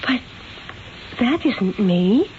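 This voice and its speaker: High-pitched, hesitant young woman. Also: sing-song, very slow, darkish tone, exaggerated voice. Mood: uncertain, wondering. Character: uneasy young Renaissance Italian woman sitting for portrait. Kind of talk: radio drama acting. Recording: tinny, noisy old radio recording.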